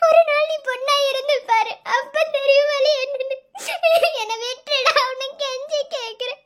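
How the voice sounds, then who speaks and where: A woman's voice: extremely high pitch, fairly even intonation, moderate pace, fairly bright tone. A woman playing a distressed young student in a melodrama, tearful and imploring as she begs her tormentor. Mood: desperate begging. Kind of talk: melodramatic Tamil audio drama acting.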